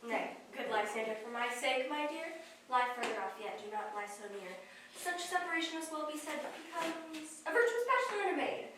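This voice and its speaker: A woman's voice: high-pitched, brisk, noisy background, close to an everyday voice. A woman playing an earnest young noblewoman in love, speaking stage verse. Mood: tender. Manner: soft, earnest pleading. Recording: echoey stage, distant mic.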